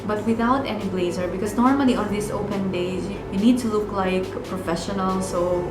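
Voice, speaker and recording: A woman from the Philippines in her thirties with a Filipino accent, telling a personal story in an earnest, reflective way as a vlogger. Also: medium pitch. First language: Bicolano. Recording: echoey room with music.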